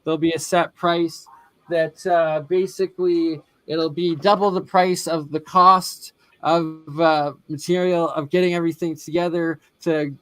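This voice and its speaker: A male host with a fairly high voice talks in long, winding sentences that keep circling back, as if thinking aloud on a livestream. The sound is dry and close, with almost no room around it. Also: flat delivery, unhurried, clean tone, no room sound, rough recording. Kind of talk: livestream discussion. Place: lives in Calgary.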